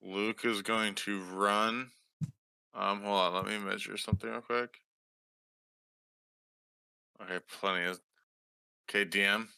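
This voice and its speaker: A man's voice: on the low side, steady tone, measured pace, gravelly, pauses often, pushed and bright, smooth, no room sound, low-quality recording. A man playing in a tabletop role-playing game, casually describing what his character does.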